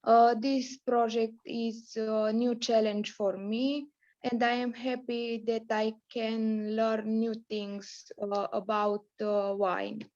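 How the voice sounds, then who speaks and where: Slow, even young woman. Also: a little high, no echo. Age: 20s. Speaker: university student. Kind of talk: self-introduction. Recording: dull lo-fi video call.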